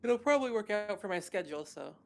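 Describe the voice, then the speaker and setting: A woman's voice: medium pitch, expressive, fast talker. A woman who plays in a tabletop game, talking casually with the group. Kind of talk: casual chat.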